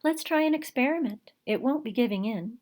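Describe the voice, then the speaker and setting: Mujer haciendo de niña científica curiosa, voz aguda. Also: female voice, a little high, expressive, a little fast, darkish tone, voice pushed up, lightly acted. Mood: earnest, persuading. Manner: inquisitive, earnest. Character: eager, determined, curious young girl scientist. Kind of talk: picture book character voice.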